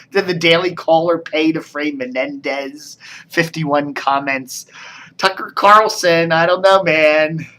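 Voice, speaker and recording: A man's voice: fairly high pitch, slow pace, pushed and bright. An American man giving casual, rambling commentary as a video blogger, with a wry, mildly sarcastic manner.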